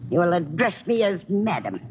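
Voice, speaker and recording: A woman's voice: on the low side, sing-song, normal speed. A woman playing an eccentric old street flower seller in a radio drama, theatrical, coaxing and saucy. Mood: doting, saucy. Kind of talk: 1950s radio drama acting. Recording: muffled old radio recording, faint noise.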